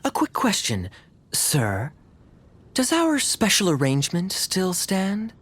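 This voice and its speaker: A man voicing a sly young knight in a video game, smooth-talking, wry and mock-polite. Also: high-pitched, wildly swooping pitch, slow, fairly bright tone, slight background noise, heavily acted. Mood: wry, teasing. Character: suave, sly, teasing young male knight. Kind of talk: animated video game voice acting.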